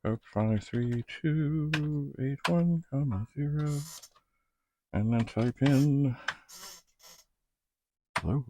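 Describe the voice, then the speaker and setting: A man thinking aloud in a halting, casual ramble as he hosts a hands-on hobby demonstration, muttering to himself. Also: medium pitch, noisy background. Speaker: retro computer hobbyist host. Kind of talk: casual rambling demonstration while testing equipment.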